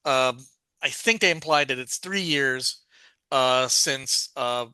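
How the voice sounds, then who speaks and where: A man hosting a podcast in casual review chat, rambling and haltingly repeating and restarting his phrases. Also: medium pitch, sharp tone, clean tone, dry recording. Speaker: podcast host.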